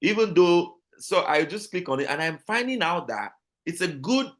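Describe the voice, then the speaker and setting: A man with a Nigerian accent, a guest talking casually in an interview, animated and full of restarts and repeated words. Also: high-pitched, moderate pace. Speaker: guest, business owner.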